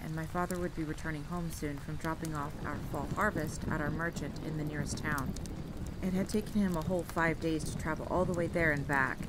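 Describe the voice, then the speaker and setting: A woman playing a gruff, no-nonsense young vampire hunter, a reluctant and matter-of-fact storyteller in an audio roleplay. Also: low-pitched, natural voice. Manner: curt, grudging, matter-of-fact. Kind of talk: dramatic campfire storytelling roleplay. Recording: clear rain and ambience noise. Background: rain, rolling thunder, campfire ambience.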